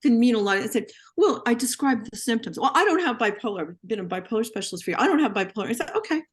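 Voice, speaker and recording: A woman in her sixties, a veteran therapist, chats in a lively way and acts out exchanges with her patients. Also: fairly high pitch, fast, breathy edge. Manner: animated storyteller acting out her patients' lines. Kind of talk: casual professional discussion.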